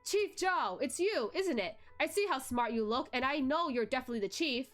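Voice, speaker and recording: A woman's voice: high-pitched, expressive, fairly quick, a bit bright, faint music behind. A woman voicing a fat, cowardly middle-aged man in a story, effusive and fawning. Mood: eager fawning.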